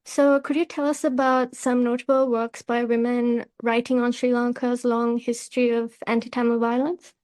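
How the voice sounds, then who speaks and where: A woman's voice: fairly high pitch, little pitch movement, normal speed, few pauses, darkish tone. A woman hosting an interview podcast, politely delivering prepared questions and remarks. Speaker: podcast host.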